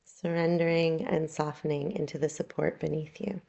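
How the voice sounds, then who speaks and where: Woman, yoga nidra guide, low-pitched, gravelly fry, soothing, unhurried, guided meditation delivery. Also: dull audio. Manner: calm, soothing, unhurried instruction with long pauses.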